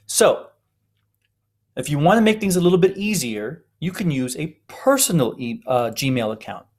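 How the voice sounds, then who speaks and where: A man giving an informal, step-by-step tutorial narration as an instructor. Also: mid-range voice. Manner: explanatory, step-by-step.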